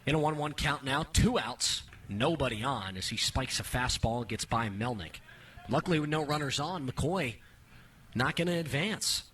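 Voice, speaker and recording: Bright-voiced male baseball play-by-play announcer. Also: medium pitch, normal speed, a little hiss or hum. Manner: clipped descriptive phrases, rising excitement on action. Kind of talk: radio sports play-by-play commentary.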